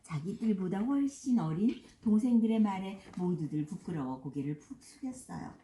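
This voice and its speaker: An older woman narrating a children's storybook in an expressive, dramatized way. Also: medium pitch, moderate pace. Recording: roomy echo, faint background noise.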